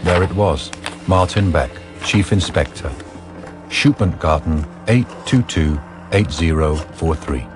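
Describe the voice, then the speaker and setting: Low-voiced male radio drama narrator over music. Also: slow.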